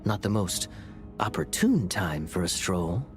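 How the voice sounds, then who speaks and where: A man's voice: low voice, big pitch swings, a little slow, music in the background. A man playing a suave, sceptical knight in a video game, questioning in a pointed, sardonic way. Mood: wry, probing. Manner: smooth-talking, pointed, sardonic.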